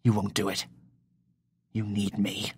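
A man playing a cocky, needling scientist in an audio drama, sardonic, with a husky voice. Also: lowish voice, monotone, measured pace. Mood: smug, sly. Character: cocky, mocking male scientist angling for power.

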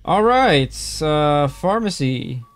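A man's voice: a little high, very animated, drawn-out words, soft background music. A young man streaming a horror game through a headset, reacting with jumpy, nervous exclamations and calling his viewers bro, his voice a little strained. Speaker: gaming streamer. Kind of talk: horror game playthrough commentary. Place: small room indoors.

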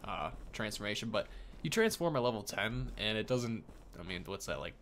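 A man's voice: lowish voice, sing-song, normal speed, fairly bright tone, a little hiss or hum. A man in his thirties giving informal, loose game showcase commentary, thinking aloud as he plays. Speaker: gaming video creator. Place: small indoor room. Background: game music.

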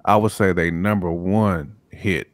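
A man's voice: low voice, steady tone, unhurried. A man co-hosting a casual podcast chat over a video call, emphatic, chiming in with short remarks. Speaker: podcast co-host.

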